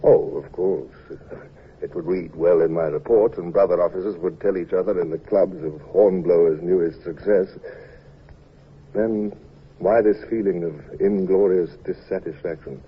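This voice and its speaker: British naval commodore for radio drama: husky, commanding, reflective, formal delivery, dull old broadcast sound. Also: male voice, deep voice, normal speed. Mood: somber, reflective. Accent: British English. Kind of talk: old-time radio drama acting and first-person narration. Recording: muffled old radio recording.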